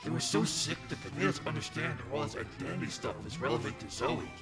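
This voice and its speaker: A man's voice: medium pitch, expressive, fast talker, natural voice. A man voicing a dopey, earnest teenage werewolf jock with enthusiastic bro slang in an amateur game dub. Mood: excited. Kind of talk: amateur visual novel dub. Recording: loud music behind the voice.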